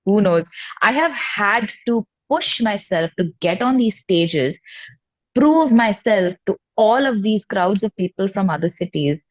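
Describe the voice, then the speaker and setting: A woman's voice: medium pitch, expressive, a little slow, no room sound. A woman from Hyderabad with an Indian accent, giving candid, casual and emphatic interview answers as a guest musician. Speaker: guest, indie singer-songwriter. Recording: dull, lo-fi, dry audio.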